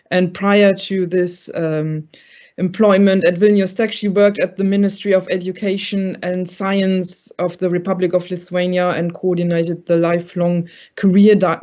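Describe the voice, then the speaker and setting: A woman's voice: medium pitch, warm tone. A woman moderating a seminar, formally introducing a speaker over dull-sounding online meeting audio.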